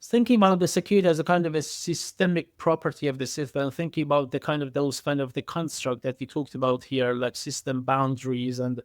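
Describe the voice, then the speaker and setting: A man speaking as an expert podcast guest in a conversational way, haltingly, often repeating words and circling through filler phrases. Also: mid-range voice, fairly even intonation, dry recording, studio quality. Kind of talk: conversational expert interview answers.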